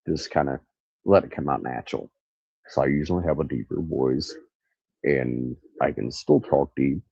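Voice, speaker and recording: A man's voice: extremely low pitch, moderate pace, vocal fry, mellow, slightly rough, no echo, dull audio. A man with a nasal voice hosting a rambling, casual true crime livestream from an outdoor carport in the country. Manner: rambling, stops to look things up. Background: crickets and cicadas.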